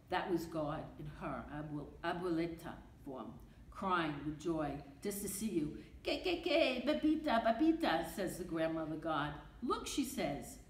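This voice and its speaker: Older Brooklyn woman reading poetry. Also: on the low side. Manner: emphatic, rhythmic, repeating refrains. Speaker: poetry reader. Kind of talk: reading a poem aloud. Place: library meeting room. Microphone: podium mic from a far camera. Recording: echoey room with faint noise.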